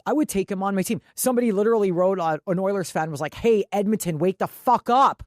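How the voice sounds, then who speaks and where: Female sports podcast co-host, medium pitch, dry recording. Blunt, opinionated, salty-tongued, conversational and indignant. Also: fast, a little mellow, no echo. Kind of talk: casual, opinionated sports talk.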